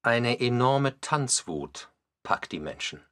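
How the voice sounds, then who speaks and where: A man's voice: mid-range voice, big pitch swings, takes it slowly, a bit bright, soft background music. A man reading scripted documentary narration for a radio play.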